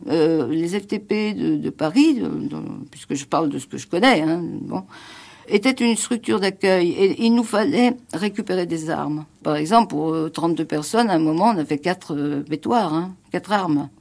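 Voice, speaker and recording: An older woman speaks in a deep, creaky voice. She talks haltingly, stopping and restarting her sentences as she recalls the past in an archival interview. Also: a little mellow. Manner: hesitant, many restarts and fillers. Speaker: former resistance member interviewed.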